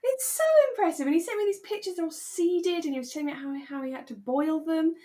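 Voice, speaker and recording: A woman's voice: very high voice, fast, mellow. A woman co-hosting a podcast, chatting casually in an effusive, giggly manner about a radio drama. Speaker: podcast co-host.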